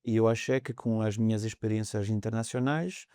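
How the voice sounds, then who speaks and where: A man's voice: on the low side, fairly even intonation, normal speed, mellow, clean tone, no room sound, studio quality. A man in his thirties from France with a French accent, a guest on a podcast interview, talking in a hesitant way with many fillers. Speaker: mortgage broker guest.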